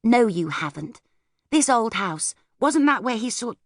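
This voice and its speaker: A woman as an eager, high-voiced, impatient little girl. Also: expressive, unhurried, no room sound, studio quality. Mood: pleading. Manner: pleading, insistent. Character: eager, impatient young girl, pressing for a story. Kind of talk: audiobook character voice.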